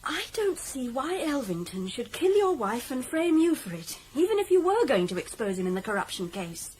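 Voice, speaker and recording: Outspoken british probation officer woman, radio drama, brisk n direct, highish voice w loads of movement. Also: very animated, darkish tone, a little hiss or hum. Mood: probing.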